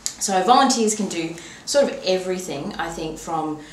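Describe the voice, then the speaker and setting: A woman's voice: on the low side, expressive, normal speed. An Australian woman chatting casually in an interview, easygoing and loose in her phrasing. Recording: echoey, distant mic, faint noise.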